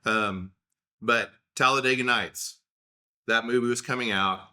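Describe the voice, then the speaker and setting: A man's voice: mid-range voice, steady tone, measured pace, sharp tone. A man in his thirties with an Oklahoma accent, telling rambling, self-deprecating stories in a casual solo talk.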